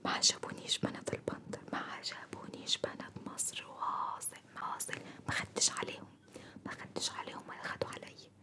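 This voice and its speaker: A woman's voice: brisk, natural voice. A woman playing a whispering Upper Egyptian salon gossip. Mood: indignant, disdainful. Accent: Upper Egyptian (Sa'idi) Arabic. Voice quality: breathy whisper throughout. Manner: effusive, repetitive, full of homely endearments and grumbles. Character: chatty, homesick Upper Egyptian salon beautician, disdainful of city folk. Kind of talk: whispered one-sided roleplay, ASMR.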